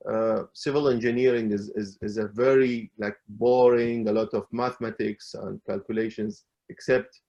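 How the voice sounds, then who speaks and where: A middle-aged man from Iraq, a calligrapher, telling his story as an interview guest in a halting way, with many fillers and restarts. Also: lowish voice, fairly even intonation, gravelly, mellow, muffled recording.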